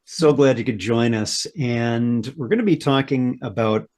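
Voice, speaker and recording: A man's voice: medium pitch, measured pace, a little mellow. A man hosting a mindfulness podcast, introducing and questioning his guest in a friendly, conversational way. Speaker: podcast host. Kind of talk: podcast interview.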